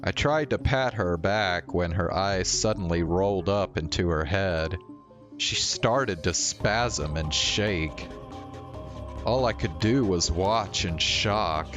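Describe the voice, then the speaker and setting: A man with a low voice reads visual novel narration aloud as a game streamer. His delivery is slow, measured storytelling, with game music playing behind him.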